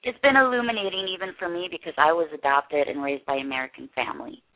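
A woman guest in an interview, speaking earnestly and haltingly with many restarts. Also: sits low. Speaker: interview guest, production company head. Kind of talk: candid personal interview. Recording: tinny phone line.

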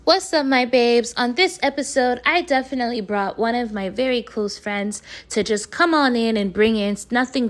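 Hoarse, hyped woman podcast host. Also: on the high side. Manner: hyped, slangy, addresses listeners directly. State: hoarse, losing her voice. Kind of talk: casual podcast chat.

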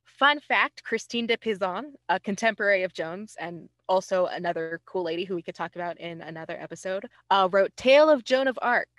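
A woman's voice: medium pitch, lively intonation, a little fast, few pauses, fairly bright tone, dry recording. A woman hosting a podcast, telling history in a casual, informal way with wry, self-deprecating asides. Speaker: podcast host.

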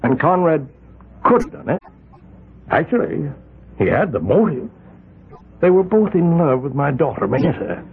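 Gravelly, hesitant island patriarch. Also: male voice, fairly high pitch, sing-song, moderate pace, long pauses, full character voice. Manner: hesitant, rambling, stammers through excuses. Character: wealthy older island patriarch, evasive and fretful. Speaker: island community leader. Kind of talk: 1970s radio drama acting. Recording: tinny lo-fi 1970s radio broadcast.

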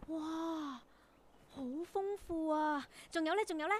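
A woman acts as an excitable young intern in a radio play, with a high, chirpy voice. She is curious and teasing, full of exclamations, over faint background noise. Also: slow pace. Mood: curious, amazed. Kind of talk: Cantonese radio drama acting.

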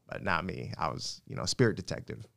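A man from the Philippines, a podcast guest, chatting casually and telling stories with quick asides. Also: low-pitched, expressive, creaky, a bit bright. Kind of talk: casual chat.

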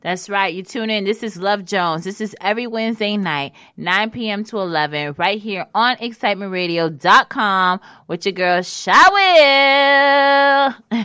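A woman's voice: medium pitch, expressive, a little slow, bright, forceful tone, clear, resonant tone. A woman in her thirties hosting a casual internet radio talk show, playful and chatty, speaking into a studio microphone. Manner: playful, hypes listeners, restarts and fillers.

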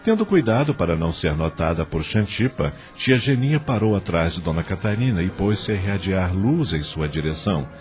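A man's voice: sits low, lively intonation, normal speed, hardly any pauses, a little mellow, faint music behind. A man narrating a scripted radio drama as its storyteller.

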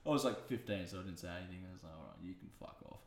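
A man's voice: low-pitched, animated, racing, a little hiss or hum. A young man hosting a podcast, telling rambling stories and swearing freely. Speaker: podcast host. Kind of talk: casual chat. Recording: echoey room.

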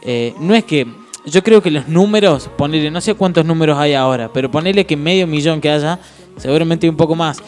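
A man's voice: on the high side, fairly quick, darkish tone, faint music behind. Easygoing young Argentine man. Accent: Argentine Spanish. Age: 20s. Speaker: guest singer and radio host. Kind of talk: casual chat.

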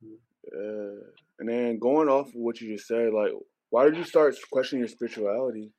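A man's voice: mid-range voice, moderate pace, darkish tone, poor audio. A man co-hosting a podcast in casual, slangy conversation. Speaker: podcast co-host.

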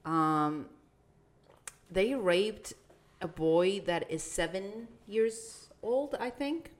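A young woman from Iraq, co-hosting a podcast and telling a story in casual chat, with halting restarts and fillers. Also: mid-range voice, lively intonation. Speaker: podcast co-host.

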